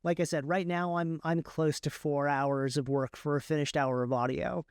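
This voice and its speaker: Male interview guest, smooth tone, very dry recording, conversational delivery that often restarts mid-thought. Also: a little high, level tone, normal speed, no echo. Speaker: guest, author and audiobook narrator. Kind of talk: casual explanatory interview chat.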